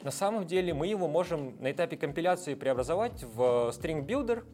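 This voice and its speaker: A man's voice: a little high, expressive, a little fast, darkish tone, soft background music. A man guest in a casual interview, explaining technical ideas at length with frequent hesitation sounds. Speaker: guest, software developer.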